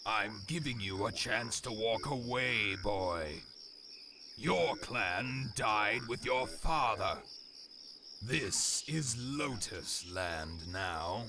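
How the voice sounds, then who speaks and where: Slow, deep, condescending warlord. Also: male voice, animated, full character voice. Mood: cold menace. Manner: measured, taunting, threatening. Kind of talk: dramatic video game cutscene acting. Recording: noisy game audio with night insects.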